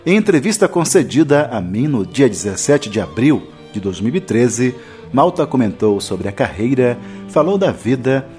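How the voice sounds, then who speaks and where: A man's voice: mid-range voice, a little mellow. A man hosting a radio program, announcing in a scripted presentation style. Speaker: radio host and interviewer.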